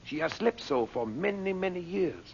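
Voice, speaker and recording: A man's voice: sits high, sing-song, moderate pace, creaky, full character voice. A man playing a sly, falsely humble schemer with a French accent and silky, over-polite phrasing in an old-time radio drama. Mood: coaxing, secretive. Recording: dull lo-fi old radio, faint hiss.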